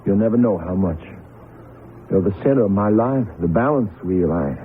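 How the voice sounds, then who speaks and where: An actor playing an earnest, modest young athlete and devoted son in an old-time radio drama, with a brooding manner. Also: male voice, lowish voice, animated, moderate pace, natural voice. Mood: modest, affectionate. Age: young adult. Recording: tinny, hissy radio drama recording.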